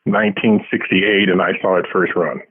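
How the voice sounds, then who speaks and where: A husky, low-voiced man around seventy speaks as an interview guest over a thin phone line. He answers casually, in a modest and reflective way. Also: fairly even intonation, normal speed, dry recording. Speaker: guest, science fiction writer. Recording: tinny, band-limited phone line.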